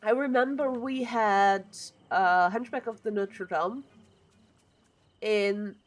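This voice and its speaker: Woman in her 30s, chatting away on stream, mid pitch, opinionated and muttering while she builds stuff. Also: takes it slowly. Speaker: livestreamer. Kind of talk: casual chat while playing a building game.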